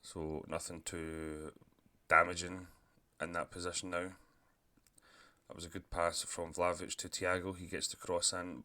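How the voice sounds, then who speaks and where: A man with a sore throat, casually commentating as he plays a football management game and clearing his throat now and then. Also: low-pitched. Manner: relaxed, chatty, clears throat often. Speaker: gaming YouTuber.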